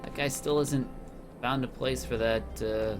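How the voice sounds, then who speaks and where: Unhurried man narrating his gameplay. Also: medium pitch, fairly even intonation. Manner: unhurried, thinking aloud with many fillers. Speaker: let's play host. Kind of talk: casual gameplay commentary. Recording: game music playing behind the voice.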